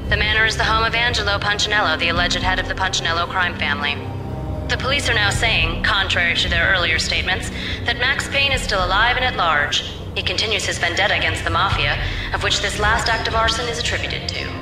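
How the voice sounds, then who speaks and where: An actress playing a brisk, impersonal news reporter reading a bulletin in a game. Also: female voice, mid-range voice, close to an everyday voice. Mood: brisk, neutral. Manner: brisk, formal. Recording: roomy echo, music behind.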